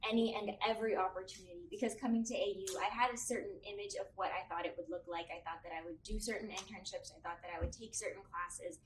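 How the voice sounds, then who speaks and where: A woman's voice: medium pitch, fairly even intonation, quick pace, hardly any pauses. A young woman, a university student, talking earnestly and reflectively in a candid panel discussion. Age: young adult. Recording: roomy, distant mic, faint noise.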